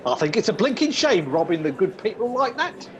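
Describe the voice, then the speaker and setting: A man's voice: high-pitched, very animated, fairly quick, muffled recording. A man playing a cheeky, chummy young London greengrocer in an old-time radio play. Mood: chummy, teasing.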